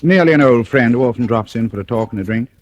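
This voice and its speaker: An actor with a British accent playing an urbane, cynical antique dealer in a 1940s radio suspense drama. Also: male voice, medium pitch, quick pace, close to an everyday voice. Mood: polite, guarded. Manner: suave, sardonic, theatrical. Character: urbane, cynical English antique dealer telling his tale. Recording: old radio recording, music behind.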